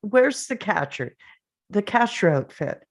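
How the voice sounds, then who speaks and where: A woman reading the part of a bereaved, nostalgic mother in a drama table read, halting and conversational but composed. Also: mid-range voice, very animated, vocal fry, heavily acted. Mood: fond, nostalgic.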